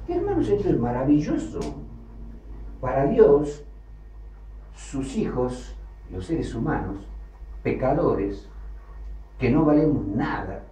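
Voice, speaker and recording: A man preaching a sermon, emphatic, with loud bursts that drop low again. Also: sing-song, takes it slowly, long pauses. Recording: echoey hall, from far off, faint ambience.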